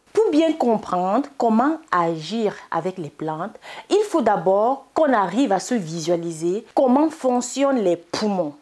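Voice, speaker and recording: A woman's voice: medium pitch, a little mellow. A woman presenting an explanatory health lesson as a channel host, in a didactic, step-by-step way. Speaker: host of a medicinal plant channel.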